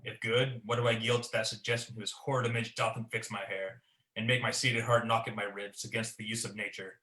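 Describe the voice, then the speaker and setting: Man as troubled, ambitious warrior lord, forceful Shakespearean radio acting in an echoey room. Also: lowish voice, level tone, a little fast. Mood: troubled brooding. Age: young adult. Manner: brooding, theatrical verse delivery.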